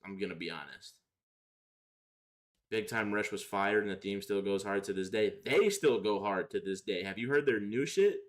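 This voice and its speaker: A man's voice: on the low side, lively intonation, normal speed. A young man chatting casually on a livestream, answering viewers in slangy, profane, opinionated talk. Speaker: live streamer.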